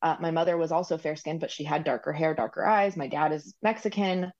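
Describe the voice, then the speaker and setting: A woman's voice: deep voice, fairly even intonation, brisk, a little mellow, lo-fi sound. A woman guest on a podcast interview, talking in a rambling way with frequent ums and likes. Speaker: guest, recipient parent. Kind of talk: conversational interview.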